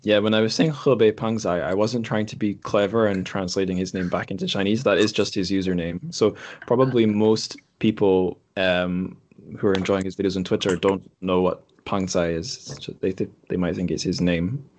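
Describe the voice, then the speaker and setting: A man's voice: low-pitched, steady tone, normal speed, a little mellow. A man hosting a podcast in casual conversation, speaking hesitantly and correcting himself as he goes. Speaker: podcast host.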